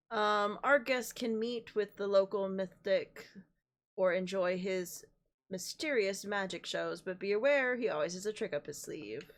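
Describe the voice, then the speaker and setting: Woman, hoarse, losing her voice, game streamer, casual and laughing, mid-pitched. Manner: laughs often, swears casually. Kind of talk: casual gameplay chat, reading in-game notices aloud.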